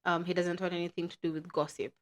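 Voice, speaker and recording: A woman hosting a podcast, chatty and explaining things in a relaxed way with lots of fillers. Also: lowish voice, quick pace, a little hiss or hum. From Kenya. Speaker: podcast host. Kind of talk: casual chat.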